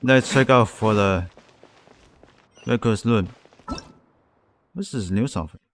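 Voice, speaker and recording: A man's voice: fairly low pitch, slow pace, slight background noise. A man casually commentating as he plays a game on a live stream.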